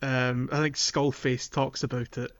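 A man speaks as a podcast co-host in loose, casual gaming chat. He sits in a middling register and often feels his way through a thought out loud, hesitating as he goes. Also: mid-range voice, flat delivery, a little slow.

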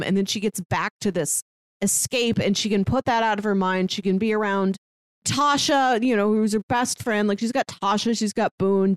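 A woman's voice: medium pitch, no echo. Woman chatting casually in a dry room. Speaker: podcast co-host. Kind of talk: casual film discussion.

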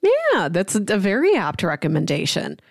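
A woman's voice: mid-range voice, wildly swooping pitch, moderate pace, creaky, no room sound. Woman podcaster, close dry sound. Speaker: podcast co-host. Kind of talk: casual conversational review and recommendations.

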